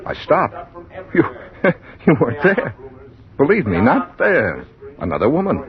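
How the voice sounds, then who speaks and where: An actor playing an anguished, fearful man confessing in halting, fervent phrases, in 1940s radio style. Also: on the high side, huge pitch swings. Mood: bewildered, agitated. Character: anguished young man, lifelong fearful, confessing his story. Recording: muffled old radio drama recording.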